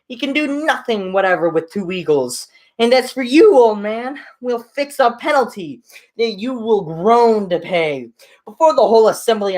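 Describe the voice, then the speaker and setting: A woman's voice: mid-range voice, pitched above the performer's own voice, close to an everyday voice. A woman voicing an arrogant young male suitor who talks down with scorn.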